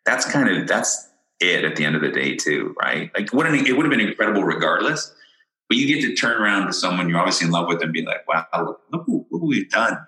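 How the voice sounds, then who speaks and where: A very deep-voiced man guests on a podcast, yet his tone comes across bright and forward. He talks earnestly and with enthusiasm, stumbling and restarting his words, and the audio has a roomy echo. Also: big pitch swings, fast. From born in Ireland, raised in Ontario, Canada. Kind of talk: casual interview chat.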